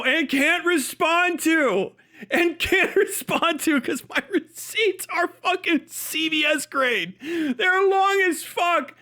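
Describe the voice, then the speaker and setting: A man's voice: very high-pitched, pushed and bright. A man ranting on a casual livestream, mocking and incredulous, swearing heavily as he reacts to a video.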